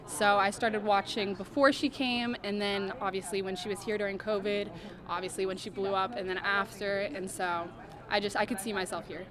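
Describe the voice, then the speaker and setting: A young woman speaking to reporters at a middling pitch, cheerful and chatty, leaning on "like" and "I mean". Clear crowd chatter runs behind her. She sounds at ease. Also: fairly even intonation, moderate pace. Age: young adult. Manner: upbeat, chatty, fillers like "I mean" and "like". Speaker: college basketball player. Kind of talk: media interview answers.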